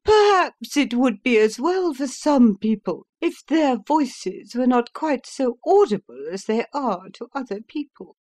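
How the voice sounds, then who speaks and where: An offended society lady bristles back in a stiff, huffy tone, as a woman reading the story puts her on. The voice rides noticeably high and clipped. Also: expressive, unhurried, hardly any pauses, darkish tone. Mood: indignant.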